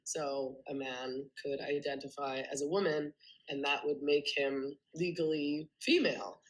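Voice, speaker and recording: A woman's voice: low-pitched, steady tone, a little slow, poor audio. A woman with a cold, sounding congested, explaining her views earnestly at length with frequent ums and restarts as a podcast guest. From Canada. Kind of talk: remote interview conversation.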